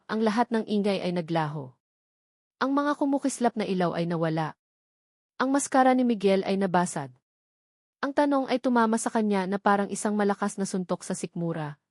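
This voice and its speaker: Female audiobook narrator, medium pitch, dramatic scripted storytelling, close dry recording. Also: darkish tone, dry recording.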